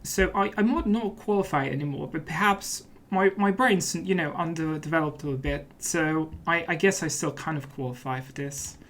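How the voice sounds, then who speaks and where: A man's voice: a little high, moderate pace, hardly any pauses, fairly bright tone. A man talks casually through a game as he plays it. His delivery is playful and often mock-serious, in the style of a let's play host. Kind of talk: casual gameplay commentary.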